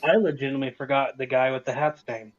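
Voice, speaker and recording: A man's voice: mid-range voice, steady tone, fast. A man chatting casually as a panelist, recorded with dull, lo-fi sound. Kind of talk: casual chat. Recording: dull, lo-fi voice audio.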